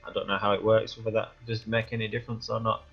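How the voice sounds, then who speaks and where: A man's voice: lowish voice, little pitch movement, fast, creaky, husky. A man with a cold, sounding stuffy and sniffly, rambling through casual commentary as he plays a game. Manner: rambling, thinking aloud while playing. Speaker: let's play gamer. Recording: dull lo-fi recording, faint music behind.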